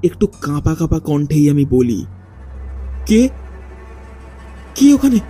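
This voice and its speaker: Guy telling a ghost story real slow, dark mellow voice, dramatic pauses, soft music under it. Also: fairly high pitch, very animated, slightly rough. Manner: suspenseful, deliberate, with dramatic pauses. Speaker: horror story narrator. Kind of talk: dramatic first-person ghost story narration. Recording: faint music behind the voice. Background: faint background music.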